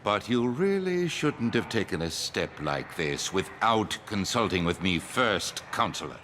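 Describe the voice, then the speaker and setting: Give this game politician a lowish, forceful voice. He is officious and sour, drawing his words out slowly with pointed disapproval. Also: sing-song, a little hiss or hum, full character voice. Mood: irritated. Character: sour, officious male political advisor, resentful. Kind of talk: video game dialogue acting.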